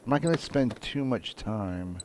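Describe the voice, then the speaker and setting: A man casually commenting on his own gameplay, muttering relaxed asides as he plays. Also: mid-range voice, unhurried, very noisy. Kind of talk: casual let's-play commentary.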